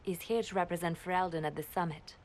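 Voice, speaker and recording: An actress playing a poised female religious leader and former spymaster, formal and measured. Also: on the low side, lightly acted. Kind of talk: video game cutscene acting.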